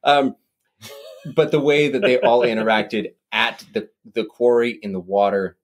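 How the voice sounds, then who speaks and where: A man's voice: unhurried, rough recording. A man co-hosting a podcast, chatting casually and at length about a film, with lots of fillers and restarts. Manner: animated, rambling, mimics voices with big pitch jumps. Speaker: podcast co-host. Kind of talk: casual movie discussion.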